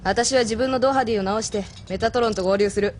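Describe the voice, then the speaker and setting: An actress playing a tough, frank young female mech pilot and former delinquent with rough, blunt speech in an audio drama. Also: on the high side, fast, darkish tone, a little hiss or hum, close to an everyday voice. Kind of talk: Japanese audio drama acting. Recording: music behind the voice.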